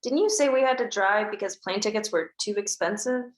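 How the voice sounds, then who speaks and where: A woman's voice: medium pitch, a little fast, reverberant, close to an everyday voice. A woman reading the part of a wry, guarded young woman at a screenplay table read, giving dry, understated replies. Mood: curious.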